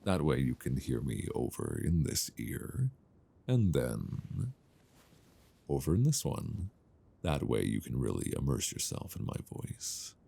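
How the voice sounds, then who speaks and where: A man playing an affectionate, gently teasing long-distance boyfriend in an intimate, unhurried ASMR roleplay voice message, speaking conversationally close to a binaural microphone. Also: extremely low pitch, wildly swooping pitch, gravelly, slightly rough, full character voice. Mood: tender, playful. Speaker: audio roleplay performer.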